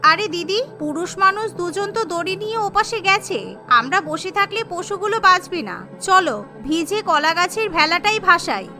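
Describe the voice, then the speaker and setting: A woman voicing a plucky, caring young wife of a village household in a cartoon, spirited and brisk. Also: very high voice, sing-song, music in the background, heavily acted. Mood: urgent, caring. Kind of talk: cartoon character dialogue.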